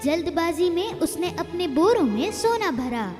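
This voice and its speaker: A woman narrating a children's moral tale in a slow, expressive storybook manner. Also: extremely high pitch, long reverb tail, over music.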